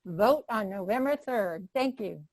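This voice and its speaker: A woman's voice: mid-range voice, lively intonation, measured pace, a little mellow, no room sound. A woman making an earnest address to an audience. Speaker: technical assistant.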